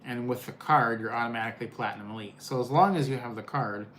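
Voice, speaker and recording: Older male co-host, mid pitch, relaxed and conversational, faint noise. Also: quick pace. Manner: easygoing, reads out viewer comments. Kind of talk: casual live Q&A chat.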